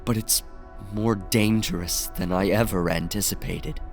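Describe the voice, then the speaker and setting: An actor playing a brooding, aloof messiah in an audio drama, speaking gravely and deliberately. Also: male voice, on the low side, steady tone, soft background music, natural voice. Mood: ominous. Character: brooding male messiah, aloof puppet of cosmic powers.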